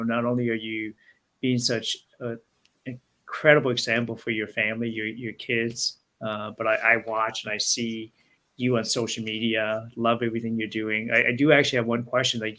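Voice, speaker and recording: A man's voice: medium pitch, sharp tone. A man hosting an interview, enthusiastic and full of praise, with frequent fillers and restarts. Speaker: interview host.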